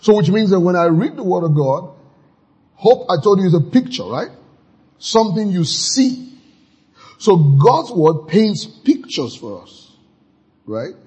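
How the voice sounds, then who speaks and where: Very husky, exuberant male preacher. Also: sits high, very animated, a little slow, long pauses, lo-fi sound. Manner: exuberant preaching with sudden shouts and laughs. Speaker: pastor. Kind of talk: sermon.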